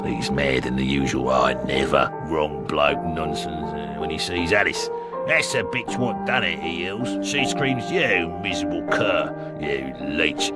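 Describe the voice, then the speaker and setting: An actor playing a genial, gossipy middle-aged police constable with a working-class English accent, talking in a wry, colloquial way in a video game. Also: male voice, low voice. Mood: mimicking, outraged. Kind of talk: video game character acting. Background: loud music.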